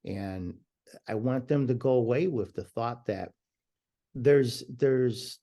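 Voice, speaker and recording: A man's voice: medium pitch, slow. A man speaking as a podcast guest, talking passionately and emphatically over a remote call, sometimes restarting his sentences. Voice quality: loud voice. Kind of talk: conversational interview.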